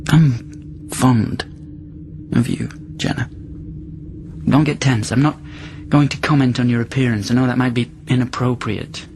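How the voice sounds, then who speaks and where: A man's voice: medium pitch, steady tone, measured pace, a little mellow, close to an everyday voice. Actor as volatile male captive amid noisy ambience. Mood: coaxing, awkward. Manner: probing, rambling, swinging between tender and menacing. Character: volatile male captive from centuries past, pleading and goading. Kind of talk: radio drama acting.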